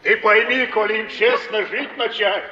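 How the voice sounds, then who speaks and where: A man's voice: high voice, fairly even intonation, normal speed, sharp tone. An actor playing a mocking, easygoing male cardsharp with a drawling, teasing theatrical delivery. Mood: amused mockery. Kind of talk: mid-century theatrical stage acting. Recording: distant muffled echoey stage recording.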